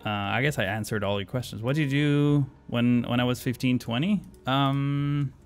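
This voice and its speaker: A man's voice: mid-range voice, steady tone, moderate pace, clear, resonant tone, faint music behind. A man from Argentina streaming a city-building game live, thinking aloud with many fillers and answering chat questions as he plays. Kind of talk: live stream commentary while playing.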